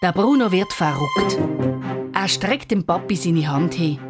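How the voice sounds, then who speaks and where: Low-pitched Swiss German woman storyteller. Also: expressive, unhurried, loud music behind the voice. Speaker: narrator. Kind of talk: scripted children's story narration.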